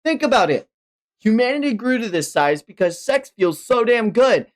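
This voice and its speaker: A man voicing a brash, crude, excitable teenage boy with a chainsaw head from a manga. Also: extremely high pitch, moderate pace, pitched above the performer's own voice. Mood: earnest, indignant.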